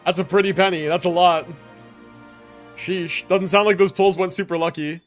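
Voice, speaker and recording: A man's voice: sits high, fairly even intonation, normal speed. A man livestreaming a video game, chatting casually and reacting in slangy, mildly sweary language. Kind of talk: casual livestream chat with gaming commentary. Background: video game music and dialogue.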